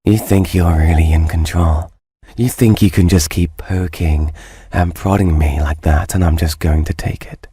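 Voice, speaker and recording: A man's voice: sits low, fairly even intonation, normal speed, warm tone, husky, dry recording, studio quality. An actor playing a bitter, tormented cartoon man in a horror game, with a theatrical, volatile, foul-mouthed delivery. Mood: menacing, bitter. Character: tormented cartoon man, bitter, taunting, vengeful, then desperate. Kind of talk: dramatic visual novel game voice acting.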